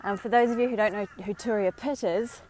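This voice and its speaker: An Australian woman talks casually into her phone while out walking, her voice a little hoarse and croaky from a sore throat. She speaks in a chatty, encouraging way, with plenty of ums and friendly asides, at a middling pitch. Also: fairly even intonation, a little fast, darkish tone, a little hiss or hum, low-quality recording. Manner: chatty, encouraging, many fillers. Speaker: vlogger and dating coach. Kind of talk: casual live-stream talk. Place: outdoors on a walk.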